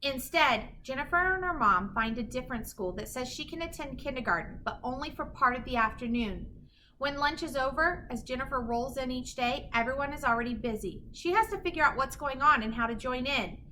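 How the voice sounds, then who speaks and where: A woman reading a children's picture book aloud in an animated, emphatic storytelling manner. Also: high-pitched, a little fast, a little hiss or hum, poor audio. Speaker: children's story reader, teacher.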